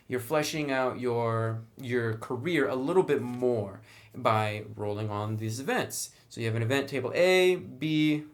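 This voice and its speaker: A man talking through a casual, explanatory review in a conversational way, with dry, wry asides. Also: mid-range voice. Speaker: tabletop game book reviewer.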